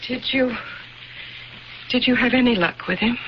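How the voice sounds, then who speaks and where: An actress playing a weary, worried middle-aged mother in a radio drama, with a creaky, plaintive voice. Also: a little high, moderate pace, lightly acted. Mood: worried, resigned. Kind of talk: 1970s radio drama acting. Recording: dull lo-fi old radio, faint noise.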